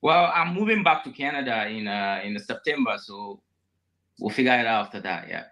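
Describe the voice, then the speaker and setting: A man from Ghana answering interview questions on a live show, measured and a bit halting. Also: fairly low pitch, animated, bright, forceful tone, poor audio.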